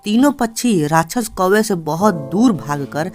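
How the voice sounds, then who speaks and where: A man's voice: high-pitched, animated, normal speed. A man narrating a scripted children's cartoon story, with music behind his voice.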